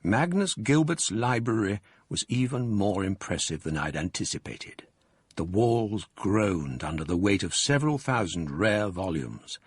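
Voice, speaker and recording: A measured, formal storyteller recalling old events in a radio play. His voice sits very low with a husky grain and a lively rise and fall, recorded close and dry. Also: dry recording. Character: grown man recalling past events. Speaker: narrator. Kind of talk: scripted radio drama narration.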